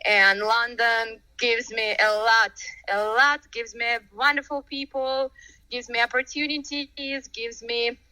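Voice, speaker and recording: A woman from Ukraine talks in simple, English and repeats words warmly. Her voice is very bright and smooth, and set fairly high. The sound is dry and close, with no room echo. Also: little pitch movement, slow. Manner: simple non-native English, warm repetitions. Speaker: guest, performer. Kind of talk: casual interview. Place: outdoors on a windy street.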